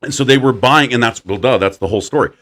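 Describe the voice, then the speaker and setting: A man with a deep voice hosting a casual chat, animated as he tells stories and acts out quotes. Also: quick pace. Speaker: podcast host.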